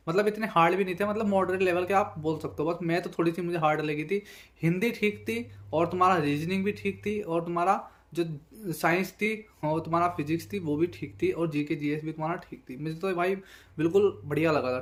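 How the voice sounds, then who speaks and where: A young man speaks. He talks informally to his viewers as he breaks things down, circling back over his points and peppering them with verbal tags. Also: sits high. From Bijnor, Uttar Pradesh, India. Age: young adult. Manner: repetitive, conversational, frequent verbal tags. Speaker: exam candidate and video host. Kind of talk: informal exam analysis talk to viewers.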